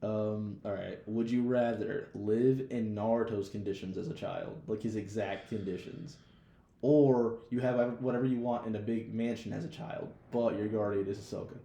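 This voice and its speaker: A man's voice: on the low side, steady tone, normal speed, gravelly, warm tone. A young American man chatting casually as a podcast co-host, with loose, jokey, slangy talk. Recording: roomy echo.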